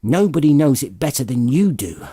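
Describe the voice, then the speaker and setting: A man voicing an indignant, sharp-tongued Victorian wife and mother in a dramatized audiobook, emphatic and sarcastic. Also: mid-range voice, sing-song, moderate pace, breathy edge, no echo, studio quality, pitched above the performer's own voice.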